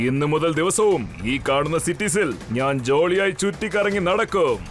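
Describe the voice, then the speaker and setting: Man playing a gloating, high-pitched cartoon villain. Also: moderate pace, slight background noise, lightly acted. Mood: boastful, scheming. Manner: boastful, theatrical, cackling. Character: gloating male cartoon villain who steals whole cities. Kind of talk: dubbed children's cartoon acting.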